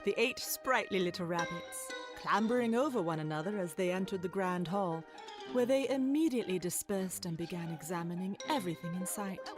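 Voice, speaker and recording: A woman's voice: fairly low pitch, a little fast, music in the background. A woman reading scripted storybook narration as the narrator of a children's tale.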